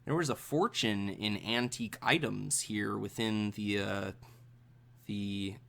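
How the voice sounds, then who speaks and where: A man working as game master, improvising the narration of a tabletop role-playing game in a halting, thinking-aloud way. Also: on the low side, fairly even intonation, creaky, a bit bright.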